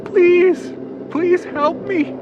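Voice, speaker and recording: A man's voice: very high-pitched, fairly even intonation, very slow, muffled recording, background noise, pitched above the performer's own voice, heavily acted. A man voicing a scared, desperate young woman on the run in a radio-style horror play, with a pleading manner.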